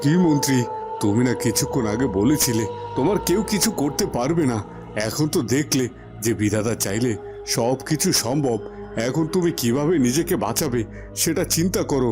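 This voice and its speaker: A man's voice: mid-range voice, expressive, moderate pace, over music, natural voice. A man voicing a devout, long-suffering cartoon king and father, emotional and emphatic in his melodrama. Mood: vengeful. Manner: emotional, emphatic, repeating phrases.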